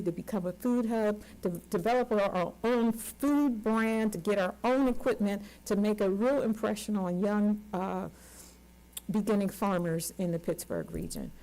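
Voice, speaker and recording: A woman's voice: mid-range voice, normal speed, mellow, poor audio. A woman in her sixties speaking on a conference panel as a community organizer, earnest and emphatic, repeating words for stress. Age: 60s. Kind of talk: unscripted panel remarks.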